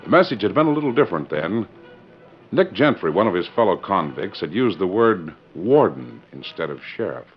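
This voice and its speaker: A man's voice: sits low, sing-song, moderate pace, faint music behind. A man narrating a western radio drama in scripted, dramatic 1940s style. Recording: dull 1940s radio recording.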